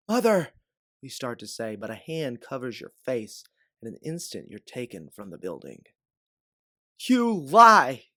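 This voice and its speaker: Male storyteller, dramatic scripted second-person narration, medium pitch, dry close sound. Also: no room sound.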